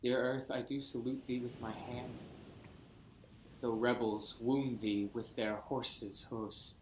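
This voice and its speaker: A man's voice: medium pitch, little pitch movement, slow pace, close to an everyday voice. A man in a video-call Shakespeare reading, playing a king greeting his land on his return. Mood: moved. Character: returning king, proud and emotional. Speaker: actor. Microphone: video call webcam. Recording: echoey room with noise.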